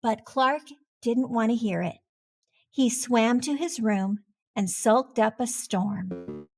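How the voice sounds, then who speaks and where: A woman narrating a children's picture book aloud with expressive, deliberate phrasing. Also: fairly high pitch, faint music behind.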